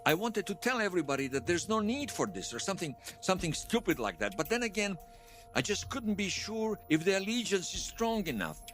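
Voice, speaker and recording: An actor playing an earnest, fervent veteran loyalist giving testimony in a fiction podcast, conversational and emphatic. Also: male voice, high-pitched, very animated, fairly quick, fairly bright tone, faint music behind. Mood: tense recollection. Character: earnest male veteran loyalist recounting an uprising, fervent believer. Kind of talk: fiction podcast monologue told as interview testimony.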